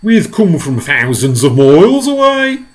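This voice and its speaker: A man voicing a huge, grinning, cheeky centipede in a children's story, loud and breezy. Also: fairly high pitch, big pitch swings, measured pace, pitched above the performer's own voice. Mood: gleeful boasting.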